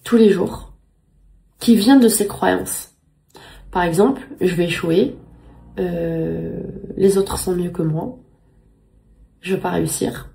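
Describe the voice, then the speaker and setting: A woman's voice: lowish voice, takes it slowly, vocal fry, pauses often, mellow. A woman in her thirties, a native Spanish speaker, talking casually to camera at home and repeating words as she looks for the right phrasing. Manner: earnest, repeats words while searching for phrasing. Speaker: vlogger.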